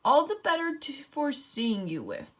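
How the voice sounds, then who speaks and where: A sneaky, hungry big wolf from a children's storybook, as a woman reads him aloud. He sounds sly and playful rather than scary, bright and lively in pitch. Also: measured pace, full character voice.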